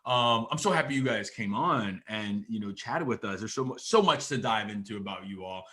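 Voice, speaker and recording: A man's voice: fairly low pitch, lively intonation, brisk, sharp tone. A man from Florida hosting a podcast, chatting casually, laughing often and turning to address listeners. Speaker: podcast host. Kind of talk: casual interview chat.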